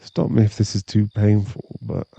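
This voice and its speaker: A man playing an awkward, tender former bully of nearly thirty in an intimate ASMR boyfriend roleplay, speaking with halting sincerity. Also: lowish voice, flat delivery, vocal fry, husky, no echo. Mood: fond, teasing. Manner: hesitant, halting, soft-spoken sincerity.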